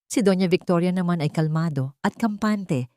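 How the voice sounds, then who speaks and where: Low, mellow woman narrating drama. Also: moderate pace, no echo, clean studio sound. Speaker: story narrator. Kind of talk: scripted dramatic narration of a romance story.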